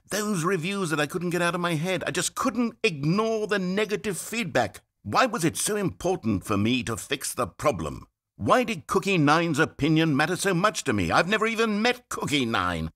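A fussy, anxious male narrator from a video game,. He rambles and pleads, his pitch leaping up and dropping low as his worry grows. It sounds like a clean studio recording. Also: sing-song, moderate pace. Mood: despairing. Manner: pleading, rambling, swinging from fretful to hushed. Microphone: studio mic.

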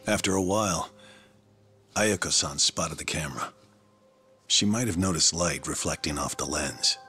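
An actor playing a grave, composed man in a video game drama, speaking in a measured, deliberate way. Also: rumbling low, sharp tone, slightly rough, soft background music, heavily acted. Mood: grave, controlled. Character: grave, composed man revealing hidden camera footage.

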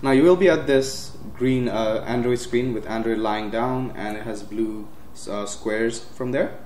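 A young man giving a casual step-by-step computer tutorial, with frequent uhs and quick self-corrections. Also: medium pitch, a little mellow. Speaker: tech tutorial host. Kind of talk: screen-recorded software walkthrough. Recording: roomy with faint hiss.

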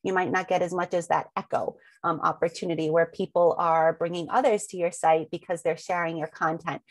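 A woman's voice: on the low side, fairly even intonation, brisk, no room sound. A woman presenting a webinar over slides, talking through a long run of rhetorical questions with frequent ums, and sounding a little sniffly from allergies.

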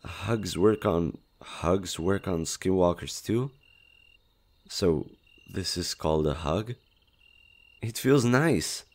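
A man voicing a shy, gentle skinwalker monster in an audio roleplay, speaking hesitantly with innocent curiosity about humans. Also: deep voice, exaggerated voice. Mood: tender, curious. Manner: hesitant, halting, innocent wonder. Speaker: voice actor. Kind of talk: intimate audio roleplay, one-sided dialogue.

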